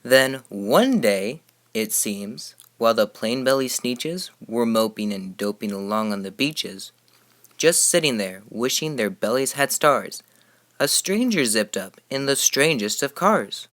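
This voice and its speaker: Slow-paced man reading a rhyming storybook. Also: mid-range voice, animated, a bit bright. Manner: emphatic, rhythmic delivery. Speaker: storybook reader. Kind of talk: expressive read-aloud of a rhyming children's story.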